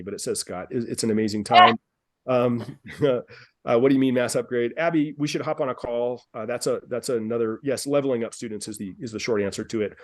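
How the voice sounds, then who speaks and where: A man's voice: medium pitch, no room sound. A man with a mid-range voice leading a group coaching call. He is upbeat and persuasive and often repeats phrases. His voice sounds dry and close. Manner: upbeat, persuasive, repeats himself, addresses callers by name. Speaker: dance studio business coach, call host. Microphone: video call.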